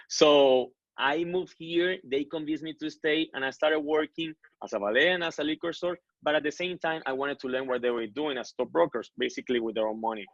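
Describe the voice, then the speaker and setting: A man's voice: a little high, brisk, dry recording. Man in his thirties. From Colombia. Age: 30s. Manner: earnest, many self-corrections and restarts. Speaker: guest. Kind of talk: casual interview storytelling. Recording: dull lo-fi dry recording.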